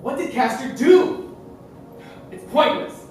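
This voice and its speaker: A man's voice: very high voice, takes it slowly, a little mellow, far from the mic. A man playing a suspicious, urgent investigator in a theatrical stage play, declaiming his lines. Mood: frustrated disbelief. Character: suspicious, urgent male investigator tailing a circus showman. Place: indoor theater stage, distant mic. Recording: echoey theater from afar, music behind.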